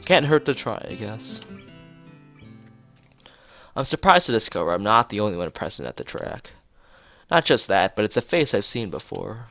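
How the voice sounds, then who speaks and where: A man streaming a visual novel, reading its script aloud haltingly, stumbling and repeating phrases, with casual asides. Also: fairly low pitch, big pitch swings, vocal fry, bright, forceful tone, no room sound, soft background music.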